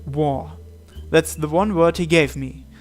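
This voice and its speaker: Higher-pitched man reading a visual novel aloud. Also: slow pace. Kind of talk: dramatic read-aloud with asides. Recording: music behind the voice. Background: game music.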